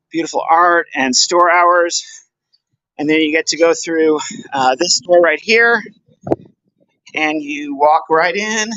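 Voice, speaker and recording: A man's voice: high voice, pushed and bright, dry recording. A man speaking casually and effusively as he gives a walking video tour and promotes his poetry, recorded on a handheld camera. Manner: enthusiastic, effusive. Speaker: poet promoting his book. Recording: dull lo-fi handheld camera audio.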